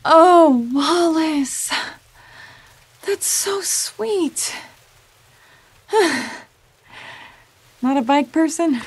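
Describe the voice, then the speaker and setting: A woman's voice: high-pitched, expressive, drawn-out words, pauses often, heavily acted. A woman playing an impulsive, anxious schoolgirl in a modern audio drama, with an emotional, exclamatory delivery. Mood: startled, then touched. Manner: emotional, exclamatory, swinging between outbursts and muttering.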